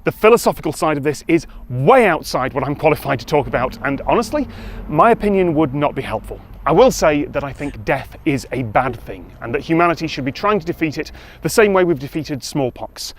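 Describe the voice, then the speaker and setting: A man's voice: fairly high pitch, sing-song, fairly quick, slight background noise. A man with a British accent narrating a documentary-style video in a dry, wry, matter-of-fact way. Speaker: video presenter.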